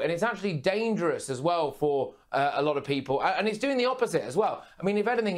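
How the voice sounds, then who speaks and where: Young man, fairly high voice, punchy emphatic delivery, news commentator on a lapel mic in a remote studio. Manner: brisk, emphatic, punchy phrasing. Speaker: TV presenter guest. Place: remote studio with city view.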